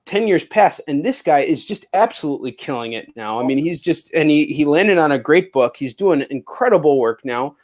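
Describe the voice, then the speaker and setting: A man's voice: on the high side, animated, moderate pace, few pauses, darkish tone. Earnest man hosting a casual chat. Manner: earnest, agreeing, with many fillers and restarts. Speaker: host.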